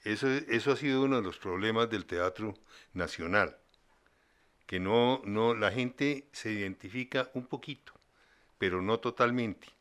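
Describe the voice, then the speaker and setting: Older man, bright forceful low voice. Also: measured pace, clear, resonant tone. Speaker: interviewee, son of a playwright. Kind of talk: reflective interview commentary.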